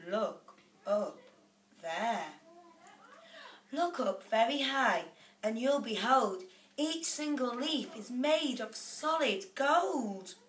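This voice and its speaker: A woman voicing an excitable, whiny young boy, talking high and breathless. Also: very slow, pauses often, heavily acted. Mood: pleading. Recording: echoey room, off-mic.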